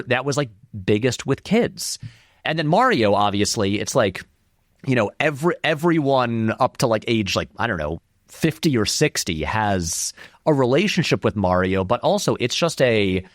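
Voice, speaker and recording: A man's voice: medium pitch, no echo, studio quality. A man giving informal, discursive and emphatic commentary as an interview guest, in a conversational style. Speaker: interview guest, film critic and video essayist.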